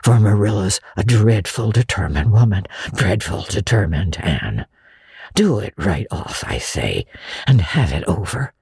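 Lady doing a shy old farmer guy, very low n husky, hesitant, gentle coaxing, storybook style. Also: big pitch swings, a little slow, no echo, clean studio sound, exaggerated voice. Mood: nervous coaxing. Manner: hesitant, hushed, repeats himself. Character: shy, kindly older farm man, gently coaxing.

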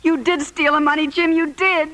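An actress playing an anxious, perceptive wife in a 1950s radio drama, earnest and pleading in a dramatic manner. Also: female voice, high voice, flat delivery, moderate pace, a bit bright. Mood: distraught. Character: anxious, perceptive young wife confronting her husband.

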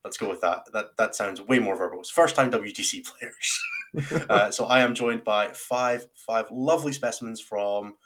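A man with a slightly low voice hosts a casual round table. His delivery is wry and self-deprecating, teasing the people he talks to. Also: normal speed, a bit bright, a little hiss or hum. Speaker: podcast host and team captain.

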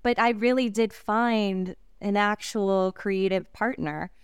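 Actress guest, native Korean speaker, very smooth voice, close and dry, halting and laughing softly. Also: female voice, medium pitch, steady tone, no echo. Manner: halting, frequent fillers and soft laughs. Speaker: actress interviewee. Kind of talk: reflective interview talk.

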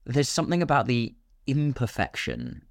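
A British man talking casually as a podcast guest, with an expressive way of telling things. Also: medium pitch, normal speed, no room sound, clean studio sound. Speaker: podcast guest, filmmaker and voice actor. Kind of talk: casual conversational interview.

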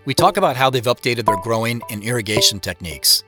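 Audio drama narrator male actor: guarded, introspective man, measured confessional tone, expressive intonation, music prominent underneath. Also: medium pitch, close to an everyday voice. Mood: reflective. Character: introspective adult man narrating his homecoming, guarded, melancholy. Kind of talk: first-person scripted drama narration.

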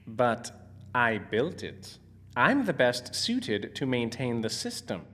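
A man's voice: sits high, sing-song, moderate pace, a bit bright. A man playing an eccentric, boastful and cheerfully oblivious scientist in an audio drama, theatrical and precise. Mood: wounded.

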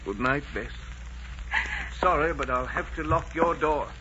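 A man's voice: fairly high pitch, lightly acted. A man playing a stern but loving middle-aged innkeeper father, earnest and sorrowful, in 1940s radio drama style. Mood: sorrowful resolve. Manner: earnest, paternal, measured. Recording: muffled, noisy 1940s radio recording.

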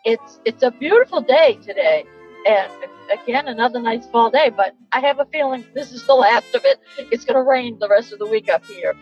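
An older woman with a Boston accent talks over a muffled phone line, stopping and starting as she giggles. Her pitch sits a little high and moves a lot. Faint music plays under the call. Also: expressive. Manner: halting, giggly, hesitant. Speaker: host's mother, phone-in caller. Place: in a car, driving.

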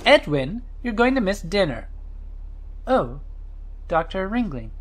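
A woman playing a polite, tactful colleague in an audio drama, with measured, careful wording. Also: medium pitch, big pitch swings. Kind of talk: naturalistic audio drama acting.

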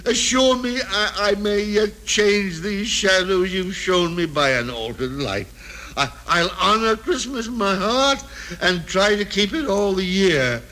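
An actor playing an excitable, stammering old miser in a vintage radio drama, with theatrical delivery. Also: male voice, high-pitched, measured pace, heavily acted, low-quality recording. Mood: anguished pleading. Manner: theatrical, stammering exclamations and repetitions. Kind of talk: 1930s-40s radio drama acting. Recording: old radio recording with music behind.

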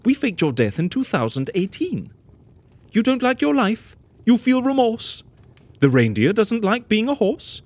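An actor playing a flamboyant, amused fixer with a theatrical, teasing manner in a rhyming audio comedy. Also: male voice, high voice, wildly swooping pitch, measured pace, a little mellow, dry recording, full character voice. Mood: teasing, curious. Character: flamboyant, amused fixer who fakes deaths. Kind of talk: rhyming comic audio drama.

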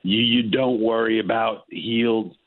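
A male sports columnist calling in, talking basketball in a halting way with lots of fillers. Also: on the low side, steady tone, no room sound. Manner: halting, many fillers and restarts. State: losing his voice. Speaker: columnist guest. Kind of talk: casual sports talk. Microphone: phone line. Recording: tinny phone line.

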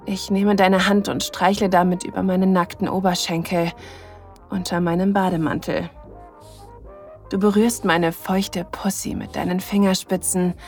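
Audio drama lead, played by a woman: mid-pitched, tender and sensual, eager lover telling the story intimately, light music underneath. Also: lightly acted. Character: affectionate, eager woman in love with her partner. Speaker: narrator and lead character. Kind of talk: intimate second-person audio drama narration.

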